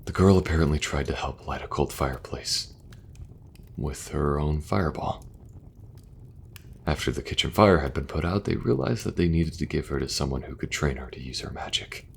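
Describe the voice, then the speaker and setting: Very deep-voiced earnest fantasy king played by a man. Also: animated, moderate pace, creaky, slight background noise, heavily acted. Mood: solemn. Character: benevolent fantasy king, earnest brother and father. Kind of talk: fantasy audio roleplay acting.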